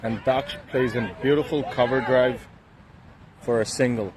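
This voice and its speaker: A man from Bangladesh with a Bangladeshi English accent, giving relaxed, halting cricket commentary full of fillers outdoors by the field. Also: medium pitch, crowd noise. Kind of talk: casual live cricket commentary.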